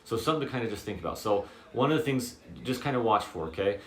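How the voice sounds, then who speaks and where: A man with a very low voice giving a casual guitar lesson, checking in often with "okay?" and "does that make sense?". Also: expressive, quick pace, echoey room. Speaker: guitar teacher.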